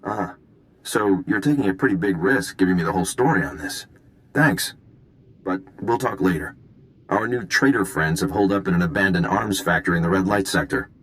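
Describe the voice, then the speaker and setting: A man plays an intelligence agent in a video game. His voice is deep and he talks in a wry, conversational way, unruffled and knowing. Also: brisk, close to an everyday voice. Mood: measured, conversational.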